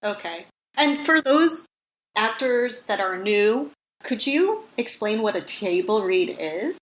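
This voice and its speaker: A woman's voice: medium pitch, big pitch swings, slow, rough recording. A woman hosting a podcast, asking questions in a casual interview style and listening attentively. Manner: attentive, frequent short acknowledgements. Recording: faint background noise.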